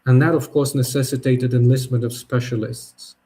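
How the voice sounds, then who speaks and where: A man who is a native Polish speaker, giving a thoughtful spoken essay, often pausing and restarting his sentences. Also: medium pitch, flat delivery, mellow. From Poland. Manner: thoughtful, halting, with frequent restarts and fillers. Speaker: podcast host.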